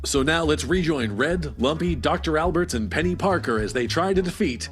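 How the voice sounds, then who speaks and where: A man's voice: on the high side, animated, normal speed, lightly acted. A man playing the theatrical announcer of an old-time pulp sci-fi radio serial. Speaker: narrator, announcer. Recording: live convention show with faint music.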